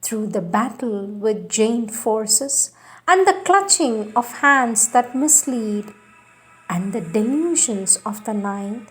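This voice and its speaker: A woman reading spiritual texts aloud in a solemn, measured, deliberate devotional manner. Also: fairly high pitch, expressive, poor audio.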